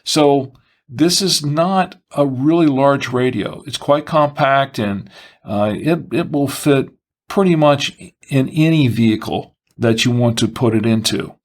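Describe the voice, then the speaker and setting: A man presenting a semi-scripted product review, explaining and listing features in measured, short phrases. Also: medium pitch.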